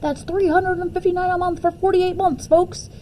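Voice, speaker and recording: Woman putting on a shouting used-car pitchman, high-pitched. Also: little pitch movement, moderate pace, a little hiss or hum. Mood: loud hard sell. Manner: shouting, hard-sell. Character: loud brash male used-car commercial pitchman.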